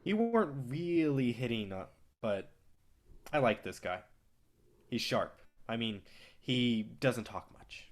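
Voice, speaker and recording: A man's voice: medium pitch, expressive, takes it slowly, pauses often. A man acting in a staged play reading as a brash, cocky film distributor, swaggering and persuasive with plenty of profanity. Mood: opinionated. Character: brash, cocky, foul-mouthed male film distributor, smooth manipulator.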